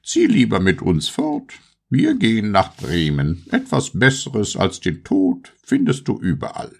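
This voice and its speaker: A man voicing a gruff, practical old donkey in a storybook tale, low and gravelly, with drawn-out brays. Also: huge pitch swings, a little mellow, full character voice. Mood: persuasive.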